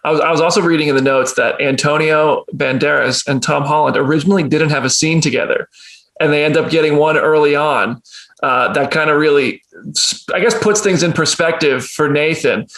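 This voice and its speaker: A man with a slightly high voice hosts a casual entertainment interview. He is enthusiastic and conversational, letting his questions ramble. The room adds a clear echo. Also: a little fast, fairly bright tone. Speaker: interviewer, entertainment show host. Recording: roomy, echoey sound.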